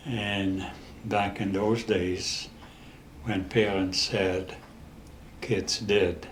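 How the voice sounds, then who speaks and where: Older Dutch-accented man in his eighties, unhurried and level, stop-start storyteller; echoey room. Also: sits low, flat delivery, slight background noise. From the Netherlands. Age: 80s. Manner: halting, with many restarts and pauses. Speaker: interview guest. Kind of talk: storytelling in a casual interview.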